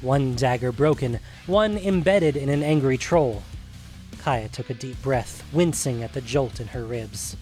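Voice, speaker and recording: A man's voice: medium pitch, measured pace, darkish tone, soft background music. A man reading scripted narration for a fantasy adventure story.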